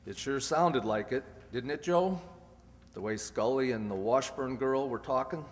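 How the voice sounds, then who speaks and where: A man's voice: mid-range voice, a little slow, natural voice. A man playing a police detective colleague in a radio crime drama, recorded in an echoey hall. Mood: inquiring.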